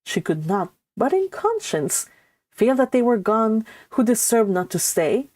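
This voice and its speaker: A woman hosting a live read-along, reading a classic novel aloud and adding chatty, expressive asides. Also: on the high side, a little slow, no echo.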